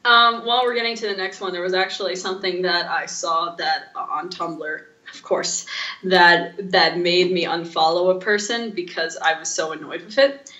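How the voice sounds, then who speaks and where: A woman's voice: fairly low pitch, steady tone, normal speed, pushed and bright. A woman co-hosting a podcast in casual chat, with slightly muffled, echoey sound. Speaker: podcast co-host.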